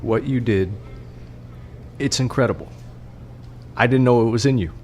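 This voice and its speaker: Audio play sidekick actor: hesitant, earnest male scientist, a little cowardly, average pitch, faint noise behind him. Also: normal speed, darkish tone, lightly acted. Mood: hopeful, awkward. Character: nervous, earnest young male mycologist, a bit cowardly. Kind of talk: audio drama acting.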